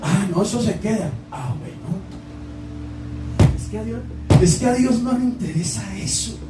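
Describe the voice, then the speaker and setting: A man's voice: high-pitched, lively intonation, moderate pace, echoey room, distant mic, music in the background. A man with a Colombian accent preaching a sermon to his congregation, impassioned and calling out to the people in front of him. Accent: Colombian Spanish. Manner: impassioned, exclaiming, direct address to the congregation. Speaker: pastor. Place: church hall.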